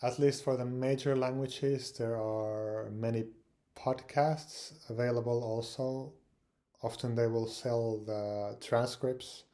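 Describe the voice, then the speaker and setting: A man from Finland giving casual advice on language learning, speaking English with frequent pauses, fillers and repeated words. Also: mid-range voice, fairly even intonation, mellow. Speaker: language learning channel host.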